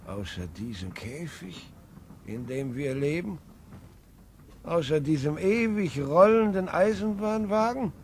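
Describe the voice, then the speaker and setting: A man's voice: fairly high pitch, expressive, slow pace, a little mellow, a little hiss or hum. An actor playing a very old grandfather who fondly remembers a lost world, in an early 1950s radio play. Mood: defiant. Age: older. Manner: wistful, earnest storytelling. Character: very old grandfather, nostalgic, defiant dreamer. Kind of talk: 1950s radio drama acting.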